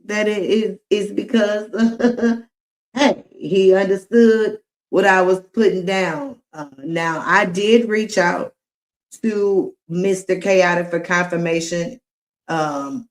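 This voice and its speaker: A woman hosts her own livestream, chatting casually with viewers. She takes her time, stretching her words out slowly. Her voice sits at an ordinary middle pitch. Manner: slow, drawn-out talk, addressing viewers directly. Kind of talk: casual livestream commentary to chat.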